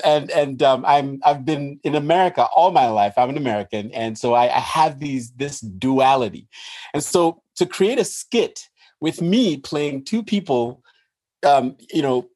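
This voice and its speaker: Animated Nigerian-born man, lively pitch. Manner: animated, laughs while explaining. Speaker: podcast guest. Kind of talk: casual interview talk.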